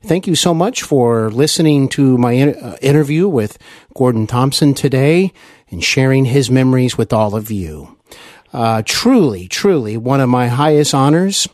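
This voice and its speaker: A man's voice: medium pitch, animated, moderate pace, darkish tone, no echo, clean studio sound. A man hosting an interview podcast in an earnest, courteous and effusive manner. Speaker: podcast host.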